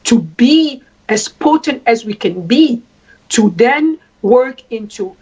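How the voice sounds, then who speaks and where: A woman from Congo speaks as a traditional queen. She talks deliberately and repeats phrases for emphasis, and her voice sits a little high with a husky edge. The recording sounds dull and lo-fi. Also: lively intonation, darkish tone. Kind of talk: spoken address.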